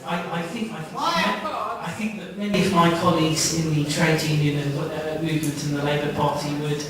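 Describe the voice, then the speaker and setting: Hesitant man over loud audience noise. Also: fairly high pitch, fairly quick, a crowd in the background. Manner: hesitant, stammering restarts. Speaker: councillor. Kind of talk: unscripted reply at a public meeting. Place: town hall committee room. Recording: echoey hall, distant mic, loud audience.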